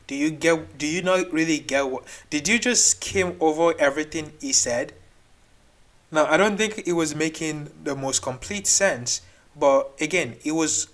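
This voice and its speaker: Exasperated man, rather high voice. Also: fairly bright tone. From Nigeria. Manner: exasperated, rambling, argumentative. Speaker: reaction video host. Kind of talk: casual reaction commentary.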